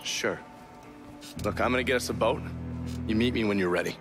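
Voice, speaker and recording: A man's voice: on the low side, fairly quick, natural voice. Male actor, American agent for a game cutscene: sardonic, taunting, swears freely, music bed underneath. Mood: curt, impatient. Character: foul-mouthed, cocky American intelligence agent, man. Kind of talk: video game cutscene acting.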